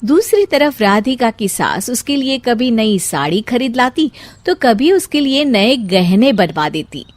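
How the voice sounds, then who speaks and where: A woman's voice: on the high side, big pitch swings, measured pace, no room sound. A woman narrating a scripted story as its storyteller.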